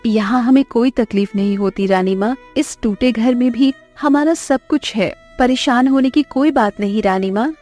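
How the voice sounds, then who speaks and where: Woman as fisherman's wife, cartoon, high voice, possessive, anxious, insistent, faint music. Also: moderate pace, hardly any pauses, darkish tone, lightly acted. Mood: guarded reassurance. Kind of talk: Hindi cartoon story dubbing.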